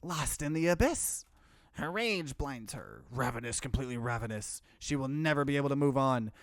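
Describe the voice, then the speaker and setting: A man voicing bitter, accusing spirits of the dead in a horror visual novel, slow and ominous. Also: mid-range voice, animated, dry recording, studio quality, close to an everyday voice.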